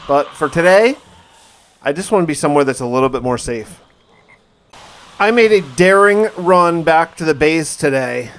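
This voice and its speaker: Theatrical man with a higher-pitched voice. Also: a little hiss or hum. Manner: theatrical, emphatic, with drawn-out words. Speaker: gaming video host. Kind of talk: dramatic first-person survival diary narration of gameplay.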